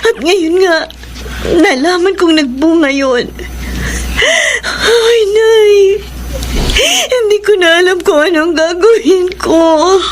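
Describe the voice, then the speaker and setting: An actress playing a distraught young wife who pleads tearfully in a classic radio drama. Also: female voice, extremely high pitch, slow pace, a little hiss or hum.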